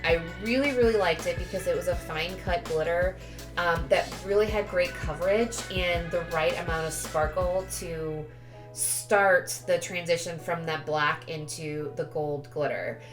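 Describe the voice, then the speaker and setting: A woman's voice: fairly low pitch, measured pace. A woman giving a casual, chatty craft tutorial voice-over, sounding sniffly with a cold and often correcting herself. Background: background music.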